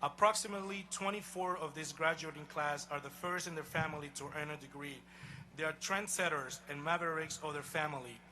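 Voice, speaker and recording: Bright-voiced man with a Honduran Spanish accent. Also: fairly high pitch, low-quality recording. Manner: measured, emphatic address to a crowd. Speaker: college president. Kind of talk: commencement speech. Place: outdoor stadium.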